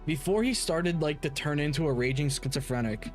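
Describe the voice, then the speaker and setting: Man, game streamer, slightly high pitch, casual slang, blunt, repetitive, faint music. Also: fairly quick.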